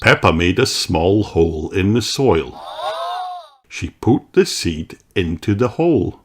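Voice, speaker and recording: A man reading a children's storybook aloud with expressive, deliberate narration. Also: low-pitched, noisy background. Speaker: storybook reader.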